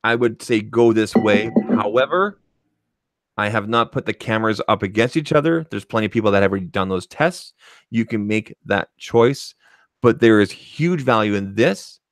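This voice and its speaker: A man's voice: fairly low pitch, normal speed, no echo. A man co-hosting a tech podcast in casual chat, emphatic and opinionated, often tacking on "okay" as he makes his points into a studio microphone.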